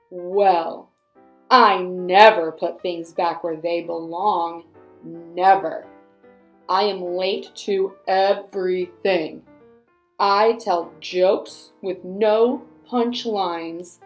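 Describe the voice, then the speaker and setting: A woman voicing a grumpy, boastful bad seed in a children's storybook read-aloud, with slow, emphatic delivery. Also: lowish voice, voice pushed down. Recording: echoey room.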